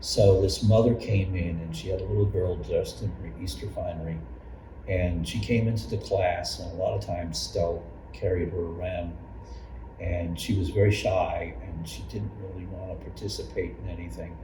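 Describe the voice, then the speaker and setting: An older man with a very deep voice reminisces about his years as a volunteer teacher. He speaks haltingly, circling back over phrases. The recording is roomy and distant, with clear background noise. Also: fairly even intonation. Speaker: longtime church member and Sunday school teacher. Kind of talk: personal reminiscence in an oral history interview.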